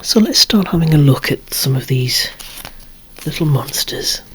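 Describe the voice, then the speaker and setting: A person whispering an ASMR show-and-tell of a collection, musing along in a hushed voice with gently wry asides. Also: mid-range voice, animated, measured pace, a little hiss or hum. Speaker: presenter of a toy collection.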